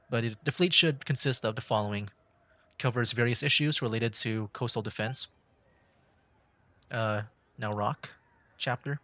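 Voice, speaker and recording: A man's voice: mid-range voice, fairly bright tone, no room sound. A man streaming a story game, chatting casually and reading the on-screen text aloud, rambling and cutting himself off. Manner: rambling, self-interrupting, laughs at himself. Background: game music.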